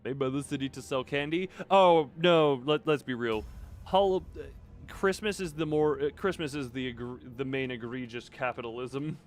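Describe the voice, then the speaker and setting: A man's voice: medium pitch, normal speed. A man livestreaming a game, commenting casually and thinking aloud in a rambling way as he goes. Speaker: game streamer. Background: game music.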